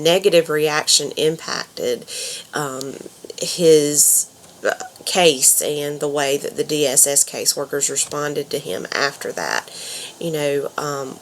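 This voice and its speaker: Young woman, congested from a cold, low voice, halting casual delivery full of fillers. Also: a little hiss or hum. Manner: hesitant, many ums and you knows. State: stuffed up from a cold. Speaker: counselor.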